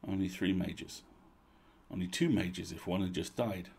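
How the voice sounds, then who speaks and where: A man's voice: low voice, unhurried, creaky, darkish tone, husky, exaggerated voice. A man voicing an earnest, plain-spoken young baker girl who tells her own story, sounding uneasy.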